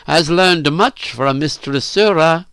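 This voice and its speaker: A man playing a stern, aloof master in an audiobook, speaking in a formal, measured, authoritative way. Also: medium pitch, expressive, fairly bright tone, clean tone, dry recording. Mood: irritated, pointed. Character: stern, commanding male master, calm and aloof.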